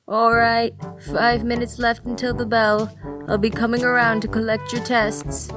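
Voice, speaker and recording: A woman's voice: on the high side, steady tone, measured pace, close to an everyday voice. Lady doing a nice older guy teacher talking to his class, audiobook, music behind. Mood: brisk. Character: kindly older male English teacher.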